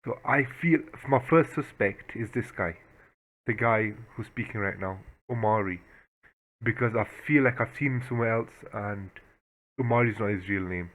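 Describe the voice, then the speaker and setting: A man's voice: fairly low pitch, moderate pace, a bit bright, rough recording. A man hosting a reaction video, thinking aloud and hesitating as he guesses. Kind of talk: casual reaction commentary.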